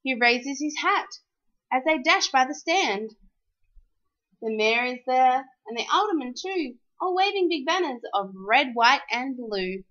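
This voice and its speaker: Female storyteller for picture-book verse: high voice, very lively sing-song delivery, dull lo-fi sound. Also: unhurried. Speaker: storyteller reading a children's picture book aloud. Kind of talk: expressive children's storybook read-aloud.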